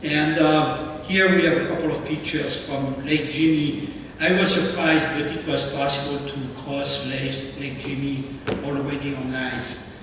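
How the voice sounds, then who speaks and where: A man in his seventies from France with a French accent, giving a club slideshow talk in a plain, halting way. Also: mid-range voice, little pitch movement, background noise. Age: 70s. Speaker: guest presenter. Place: meeting room. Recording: echoey hall, distant mic.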